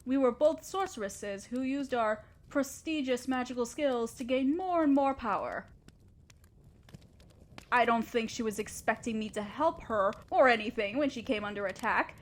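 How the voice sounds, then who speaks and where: Haughty, high-voiced evil sorceress queen acted by a woman. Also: moderate pace, slight background noise, close to an everyday voice. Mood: bitter, brooding. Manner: imperious, theatrical, addressing a subordinate. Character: haughty, ruthless evil sorceress queen fretting over her threatened empire. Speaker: voice actor in an audio roleplay. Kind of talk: scripted one-sided fantasy audio roleplay.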